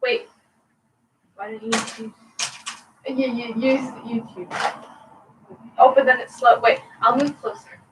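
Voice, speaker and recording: A woman's voice: on the high side, fairly even intonation, takes it slowly, pauses often, roomy sound. A woman playing in a tabletop game, chatting casually and fussing over the audio setup. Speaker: player. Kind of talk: casual chat. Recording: distant mic with noisy background.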